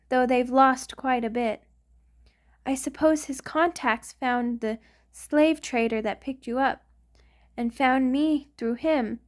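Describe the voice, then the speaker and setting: A woman's voice: high-pitched, darkish tone. A woman voicing a centuries-old vampire lover in an intimate ASMR roleplay, doting and anxious, with a hesitant, sighing delivery. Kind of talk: ASMR romantic roleplay monologue.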